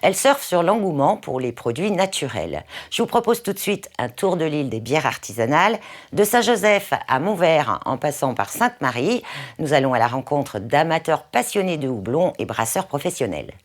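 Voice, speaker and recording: Low-voiced woman narrating a lively report. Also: moderate pace, no room sound. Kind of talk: news report voice-over. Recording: music bed under the voice.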